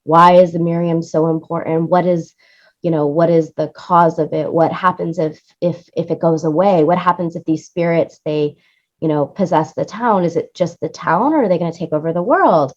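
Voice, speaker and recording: A woman's voice: fairly low pitch, moderate pace, dull audio. A woman talking enthusiastically and conversationally as an interview guest about her creative work. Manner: enthusiastic, effusive. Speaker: interview guest, radio play producer and music supervisor.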